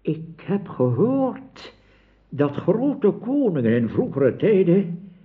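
An actor playing a weary, wise old wizard mentor in a radio drama, speaking with measured, solemn counsel. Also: male voice, sits high, very animated, slightly rough, heavily acted. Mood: solemn, advising. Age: older. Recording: thin, phone-like old radio play recording.

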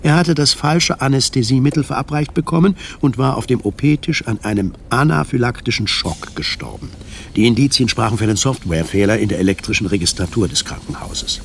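A man reading scripted narration for a radio drama, measured and articulate. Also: medium pitch, animated, creaky, slight background noise.

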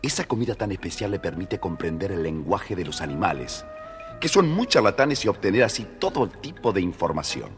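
A man's voice: low voice, sing-song, fairly quick. A man narrating a scripted children's story in a theatrical, playful way. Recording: reverberant studio, music behind.

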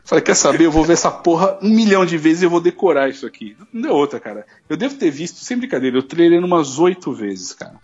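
A man's voice: on the high side, sing-song, fast, hardly any pauses, darkish tone, breathy edge, highs cut off. A Brazilian man in his forties telling personal stories in a casual podcast chat, using lots of slang and swearing. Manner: colloquial and slangy, peppered with swearing. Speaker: podcast co-host.

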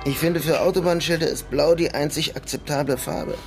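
An actor playing a melancholy young German emigrant painter in New York, understated and wry, in a radio drama. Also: male voice, a little high, fairly even intonation, brisk, creaky, darkish tone, soft background music. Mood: laconic. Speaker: protagonist and narrator of a radio crime drama. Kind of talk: German radio drama, introspective narration and dialogue.

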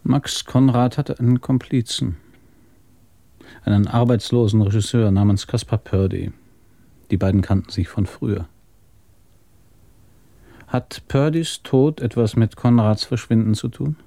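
A man's voice: fairly low pitch, unhurried, gravelly, long pauses, warm tone, dry recording. An actor playing a seasoned, sardonic male police inspector in a German radio crime drama. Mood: brisk, conclusive. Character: seasoned, dry-witted male New York police inspector.

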